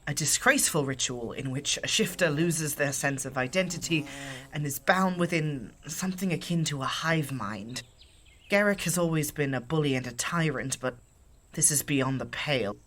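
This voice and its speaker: An actor playing a dignified exiled shifter who speaks in formal, measured, old-fashioned phrases. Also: male voice, fairly high pitch, fairly bright tone, lightly acted. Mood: solemn.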